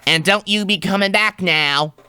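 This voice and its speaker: A man's voice: sits high, normal speed, pushed and bright, slight background noise. A man playing a surly, wary saloon bartender in a Western audio drama, blunt and folksy.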